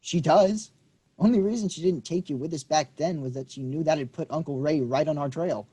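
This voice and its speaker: An actor reading a quick-tempered young man, combative and swearing in a staged play reading. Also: a little high, mellow, husky.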